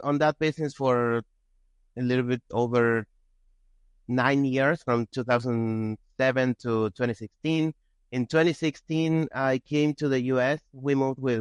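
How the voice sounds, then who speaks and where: A man from Argentina with an Argentine accent and Spanish as first language, telling his story casually and haltingly as a guest. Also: mid-range voice, clear, resonant tone, no echo, lo-fi sound. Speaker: podcast guest, entrepreneur.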